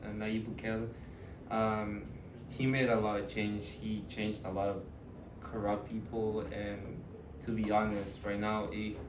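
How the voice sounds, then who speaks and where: 21 yr old guy from ecuador, low flat voice, lots of ums, echoey room a bit off mic, noisy. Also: recorded from a distance. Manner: thoughtful, hesitant with many ums and uhs. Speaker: student interviewee. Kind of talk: casual interview answers.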